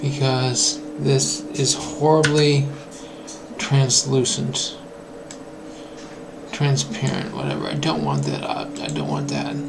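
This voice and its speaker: Hoarse male programmer, slow musing self-talk, noisy recording. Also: medium pitch, big pitch swings. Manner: musing, drifts into mock-grand asides. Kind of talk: thinking aloud while coding. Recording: clear background noise.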